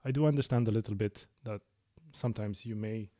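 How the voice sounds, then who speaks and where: A man in his thirties with a Romanian accent, hosting a medical podcast and explaining his clinical practice in a measured way, with frequent fillers and self-corrections. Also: lowish voice, warm tone, no echo, studio quality. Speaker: lung specialist physician, podcast host. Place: small dark studio. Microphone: studio mic on boom arm.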